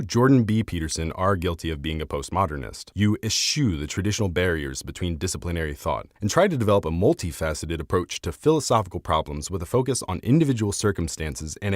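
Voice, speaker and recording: Low-voiced man narrating a formal video essay. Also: dry recording, studio quality. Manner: articulate, formal, academic phrasing. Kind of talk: scripted argumentative narration. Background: soft instrumental music.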